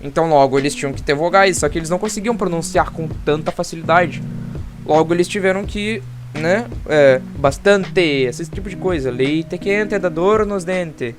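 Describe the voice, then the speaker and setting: Brazilian teen guy, pretty high voice, rambles and restarts a lot, guitar music playing behind. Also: normal speed. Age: teens. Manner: rambling, hesitant explaining with restarts. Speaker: student presenter. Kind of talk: school project presentation.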